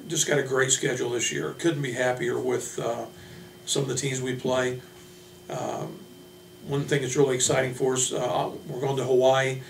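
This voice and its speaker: A man speaking off the cuff as a college basketball coach, introducing his players in a halting, plain-spoken way. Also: mid-range voice. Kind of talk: unscripted team roster introduction. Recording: roomy, distant mic, noisy hum.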